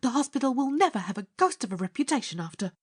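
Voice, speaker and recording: An indignant nurse from a storybook, as a man plays her, severe and full of scorn. Her voice is husky and lifted in pitch, recorded very dry. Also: expressive, moderate pace, no room sound, exaggerated voice. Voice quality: hushed, husky.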